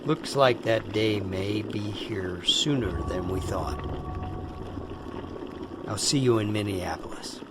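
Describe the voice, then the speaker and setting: A low male voice from an actor plays an authoritative contract boss in an audio drama. He speaks slowly and in a businesslike way. Noisy rumbling ambience runs behind him. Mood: dry. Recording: clear rumbling background ambience noise.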